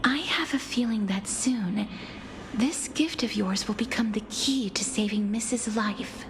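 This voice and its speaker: A woman playing a gentle, melancholy young woman in a video game, speaking softly and formally with breathy hesitance. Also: fairly high pitch, unhurried, noisy background, close to an everyday voice. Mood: hesitant, solemn. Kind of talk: scripted video game voice acting. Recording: game audio with music behind.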